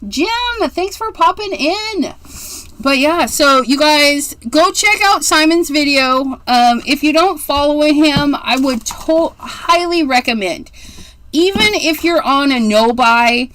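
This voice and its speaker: A woman's voice: high-pitched, lively intonation, slow, a bit bright, no echo, poor audio. A woman in her forties hosting a casual card-making livestream, chatty and rambling, gushing over how cute things are. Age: 40s. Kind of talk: casual livestream craft demo.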